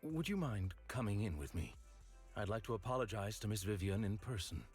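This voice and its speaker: A man voicing a composed, courteous, formal envoy in a video game, speaking politely and in a measured way. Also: on the low side, animated, a little mellow, soft background music, close to an everyday voice. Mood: respectful, sincere.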